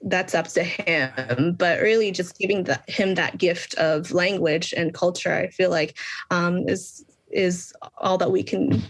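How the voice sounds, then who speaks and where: A woman from the United States, a children's book author, talks in a casual interview with many pauses and restarts. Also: on the low side. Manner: thoughtful, many ums and restarts.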